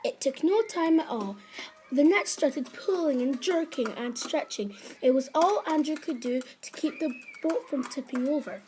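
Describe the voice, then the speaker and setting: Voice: high voice, lively intonation, moderate pace, a little mellow, slight background noise. A narrator reading a scripted story for a school puppet show.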